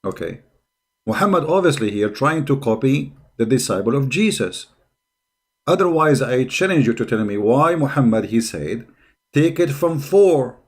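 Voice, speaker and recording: A man's voice: mid-range voice, lively intonation, normal speed, darkish tone. Combative man. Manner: combative, mocking, repeats phrases and asks rhetorical questions. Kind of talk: spontaneous polemical commentary.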